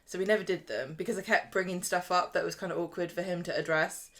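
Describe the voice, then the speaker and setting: Chatty woman podcast co-host, lowish voice. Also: fairly even intonation, fast, a bit bright. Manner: chatty, laughs easily, many restarts. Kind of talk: casual podcast chat.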